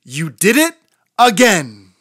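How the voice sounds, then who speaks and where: Storybook rooster dad, played by a woman: weary but patient, measured pace, mild scolding. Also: mid-range voice, sing-song, slightly rough, no echo, clean studio sound, heavily acted. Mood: mildly exasperated. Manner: measured, gently chiding. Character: patient, tired father rooster, gently scolding.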